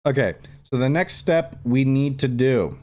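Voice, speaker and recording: A man's voice: medium pitch, unhurried, a little mellow. A man hosting a casual step-by-step tutorial, thinking aloud informally and repeating instructions as he works. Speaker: tutorial host. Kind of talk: casual step-by-step screen tutorial, talking while working. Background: background music.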